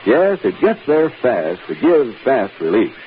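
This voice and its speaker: Man, radio pitchman, medium pitch, very varied intonation, persuasive, thin old recording. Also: big pitch swings, a little slow, narrow, tinny audio, slight background noise. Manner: polished, persuasive. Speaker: commercial announcer. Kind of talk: 1950s radio advertising read.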